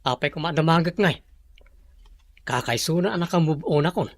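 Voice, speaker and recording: Moody son in radio drama, gravelly but kinda high, dodging questions. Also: male voice, fairly quick, no echo. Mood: brooding, evasive. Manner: reluctant, evasive. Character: evasive, brooding grown son.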